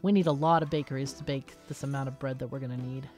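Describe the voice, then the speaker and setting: Low-voiced woman casually commentating gameplay. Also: steady tone, fast talker, over music. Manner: thinks aloud, explanatory. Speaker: gaming video host.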